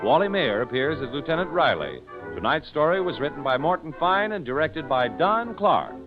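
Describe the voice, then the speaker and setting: A man's voice: a little high, sing-song, moderate pace. An American man announcing a 1940s radio show in a polished, emphatic, scripted sales style. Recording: dull 1940s radio recording with music.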